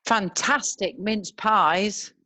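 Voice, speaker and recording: A woman's voice: mid-range voice, very slow, pushed and bright. Woman reading a blunt, drawling school mum. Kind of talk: amateur online play reading.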